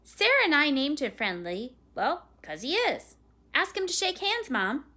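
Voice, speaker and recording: Storybook part: earnest, boyish kid acted by a woman, emphatic and eager, high pitch with lively swings. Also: unhurried, exaggerated voice. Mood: proud. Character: eager, earnest young boy, scornful of fraidy cats.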